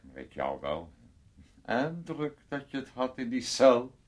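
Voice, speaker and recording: A man's voice: mid-range voice, big pitch swings, slow pace. An actor playing a bossy, prying, needling man in a radio play, with an imperious, theatrical manner. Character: bossy, prying, needling man who orders another around.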